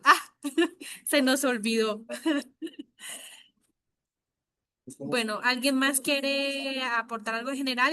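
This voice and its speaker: A woman moderating an online workshop over a video call, in a friendly and relaxed way. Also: a little high.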